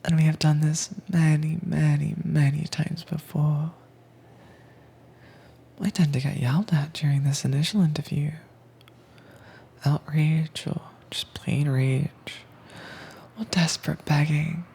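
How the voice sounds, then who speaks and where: A woman performing a whispered roleplay as a calm, commanding agent who keeps a werewolf caged, speaking in a breathy, teasing manner close to the mic. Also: deep voice. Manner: cool, teasing, unhurried. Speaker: audio drama voice actress. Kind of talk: soft-spoken whispered roleplay audio.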